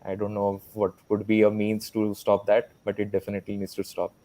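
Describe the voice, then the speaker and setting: Male panel guest, lowish flat intonation, thoughtful and unscripted. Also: a little fast, dry recording, low-quality recording.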